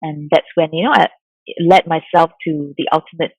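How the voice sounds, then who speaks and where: A woman from Singapore in her forties, a mindfulness coach answering interview questions in a soft, thoughtful way with many fillers. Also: deep voice, fairly even intonation, moderate pace, breathy edge, no room sound. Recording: dull lo-fi sound, dry.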